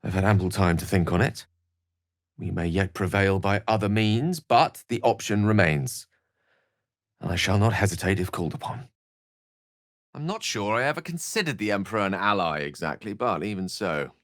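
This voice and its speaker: A man's voice: deep voice, animated, normal speed, no room sound, clean studio sound. A man playing an eloquent, witty and self-assured wizard in a video game, with articulate, florid, wry delivery. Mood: earnest, measured. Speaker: game character voice actor. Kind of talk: theatrical video game character dialogue.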